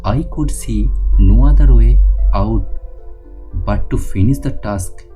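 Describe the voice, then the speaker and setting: A man reading an autobiography aloud, halting, with frequent slips and restarts. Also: fairly low pitch, mellow, soft background music. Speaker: audiobook reader.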